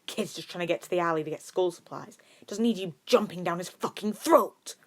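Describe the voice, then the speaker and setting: Woman playing a gruff, sardonic, protective man. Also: fairly low pitch, brisk, no echo, close to an everyday voice. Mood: snarling, protective. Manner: growling, sardonic, blunt.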